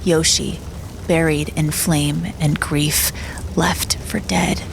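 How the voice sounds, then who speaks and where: A woman narrating a dramatic audio story in a solemn, measured way with weighty pauses. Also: low voice, fairly even intonation, gravelly, background noise. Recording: narration under loud dramatic music. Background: loud music.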